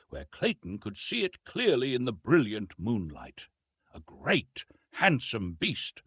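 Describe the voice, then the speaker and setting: A man narrating classic adventure fiction as an audiobook, with dramatic, deliberate phrasing. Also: mid-range voice, husky, dry recording, clean studio sound.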